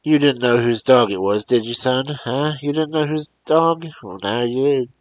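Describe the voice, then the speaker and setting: A man voicing an angry old country man who yells accusations in rough rural grammar. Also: sits low, expressive, moderate pace, no room sound. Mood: angry accusing.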